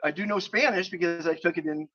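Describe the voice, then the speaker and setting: A man's voice: on the high side, fast, bright, forceful tone, dull audio. A man hosting a casual outdoor chat, rambling and upbeat with many fillers. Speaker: host interviewing a guest. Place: outdoors on a balcony overlooking volcanoes.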